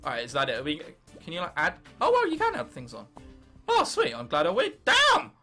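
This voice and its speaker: A man's voice: fairly high pitch, wildly swooping pitch, fairly quick, pushed and bright, faint music behind. A nineteen-year-old man with an English accent doing casual, jokey let's play commentary, swearing freely and reading game text aloud. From England. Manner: jokey, sweary, self-interrupting. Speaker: gaming video host.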